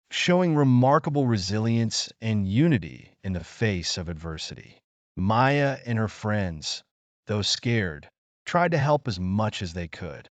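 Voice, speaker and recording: A man narrating a scripted children's story in a measured, earnest storybook manner. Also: fairly low pitch, no room sound.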